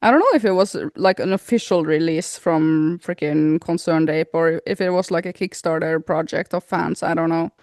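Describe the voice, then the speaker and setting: A woman co-hosting a podcast in casual chat, encouraging her co-host with quick replies. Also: low-pitched, darkish tone, no echo, studio quality.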